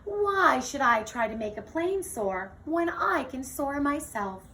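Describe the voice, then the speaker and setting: A woman voicing an exuberant, playful young girl in a children's storybook, bouncy, sing-song and excitable. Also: high voice, takes it slowly, voice pushed up, exaggerated voice. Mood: breezy. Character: exuberant, playful, whimsical young girl who loves dancing. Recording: distant mic with faint noise.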